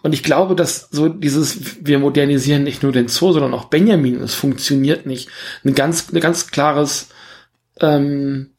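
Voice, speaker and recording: A man's voice: fairly high pitch, fairly even intonation, unhurried. A man in a casual film review discussion as podcast co-host, making his points emphatically and repeating them.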